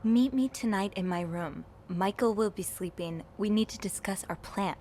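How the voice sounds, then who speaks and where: A woman plays a young maid who snoops around a 1950s hotel in a mystery game. She sounds earnest and polite, with a curious edge. Her voice sits at an average pitch for a woman. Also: moderate pace, darkish tone, slight background noise, natural voice. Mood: intrigued. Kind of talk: video game cutscene acting.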